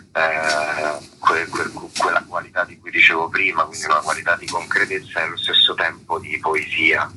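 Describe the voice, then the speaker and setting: A man's voice: low voice, pushed and bright, slight background noise, poor audio. A man, an actor giving reflective, conversational interview answers and searching for his words. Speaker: actor being interviewed about a play.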